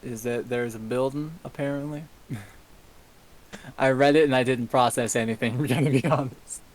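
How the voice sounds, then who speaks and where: A man's voice: medium pitch, fairly even intonation, moderate pace, low-quality recording. A man chatting casually as co-host of a group livestream, jokey and often chuckling. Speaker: co-host and story reader.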